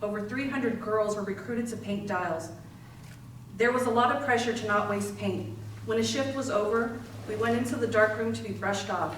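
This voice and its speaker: A woman's voice: medium pitch, moderate pace, lightly acted. A woman playing a young dial painter, an immigrants' daughter, recalling shared work in a readers theater monologue. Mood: reflective. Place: library readers theater performance, distant microphone. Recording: very echoey, distant mic, faint noise.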